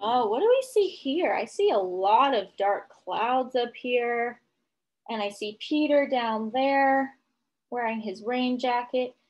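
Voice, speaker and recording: A woman teacher reading a picture book to young children, explaining it as she goes and thinking aloud in an animated way. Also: a little high, slow, highs cut off.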